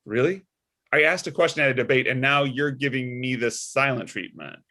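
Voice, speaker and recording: A man's voice: medium pitch, fairly quick, sharp tone, close to an everyday voice. A man playing a blunt, stubborn, unbothered father of a political candidate in a comedic table read. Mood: unbothered, needling.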